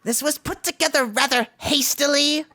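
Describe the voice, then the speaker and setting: A woman voicing an excitable, testy male cartoon duck hero in a live comic dub. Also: sits high, normal speed, dry recording. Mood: exasperated.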